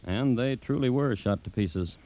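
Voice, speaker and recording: A man's voice: mid-range voice, moderate pace, warm tone, no echo, natural voice, rough recording. An American man performing as a grave Old West storyteller, narrating a radio drama in solemn, rhythmic phrases. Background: dramatic music score.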